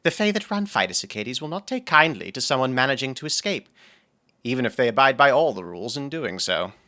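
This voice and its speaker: Audio drama role: doting gentleman occultist and healer, genteel and playful, lively intonation, crisp bright tone. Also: medium pitch, brisk. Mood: wry, concerned. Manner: genteel, playful, formal wording. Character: doting, nerdy, refined occult healer, male lover.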